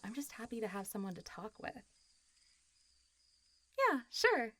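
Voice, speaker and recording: A woman's voice: fairly high pitch, very animated, a little slow, fairly bright tone, full character voice. Playful, teasing zombie girl, acted by a woman. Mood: friendly, teasing. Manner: casual, playful, teasing, often laughing and sighing. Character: friendly, teasing centuries-old zombie girl. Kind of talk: intimate roleplay audio drama.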